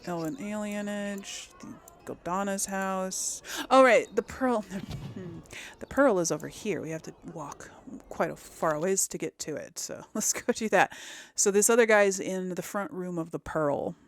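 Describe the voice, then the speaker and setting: A woman's voice: mid-range voice, unhurried. A woman giving casual let's play commentary as host of a gaming video, chatty and thinking aloud as she goes.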